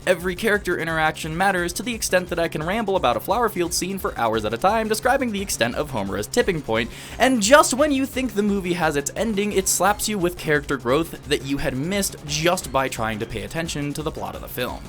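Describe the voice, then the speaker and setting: A man's voice: fairly high pitch, expressive, fairly quick, hardly any pauses, fairly bright tone, soft background music. A man in his early twenties narrating a scripted video essay as an opinionated, enthusiastic fan. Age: early 20s.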